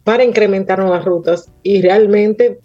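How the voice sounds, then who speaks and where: Husky-voiced woman on a dull phone line. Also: medium pitch, moderate pace, no room sound, faint music behind. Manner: fluent explanatory, many "pues" fillers. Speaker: tourism marketing expert, guest. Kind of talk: phone-in radio interview.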